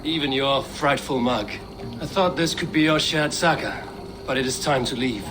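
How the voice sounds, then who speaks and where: An actor playing a stern, dry-witted Viking warrior in a video game, speaking in measured, terse, old-fashioned phrases. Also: male voice, mid-range voice, natural voice. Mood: wry, warm. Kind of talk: video game cutscene acting.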